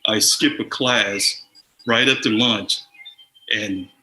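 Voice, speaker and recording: Bright-voiced man telling stories. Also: fairly low pitch, takes it slowly. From Samoa. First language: Samoan. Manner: chuckling, lively anecdote telling. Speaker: guest sharing memories. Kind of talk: casual storytelling. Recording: echoey room with faint noise.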